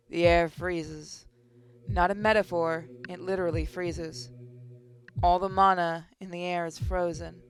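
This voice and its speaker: A narrator reading visual novel text aloud in a measured, dramatic way over game music. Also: medium pitch. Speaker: gaming channel narrator.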